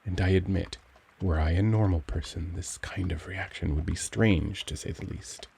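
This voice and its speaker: A man playing a gentle, cultured young vampire in an intimate ASMR roleplay, speaking formally and tenderly as he struggles for control. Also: low voice, moderate pace, gravelly, a little hiss or hum. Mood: apologetic, reassuring. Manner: formal, courtly, tender, brooding.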